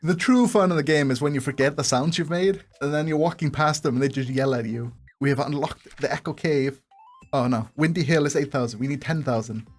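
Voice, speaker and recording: A woman's voice: low-pitched, brisk, soft background music. A woman giving casual game commentary as a streamer, playful, giggly and sweary. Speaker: gaming streamer.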